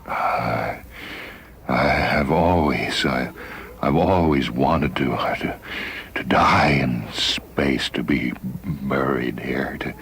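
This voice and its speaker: A man playing a weary, dying spaceman in a radio story, halting and breathy, fading toward whispers. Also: rumbling low, fairly bright tone, a little hiss or hum, voice pushed down, exaggerated voice. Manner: halting, repeats words.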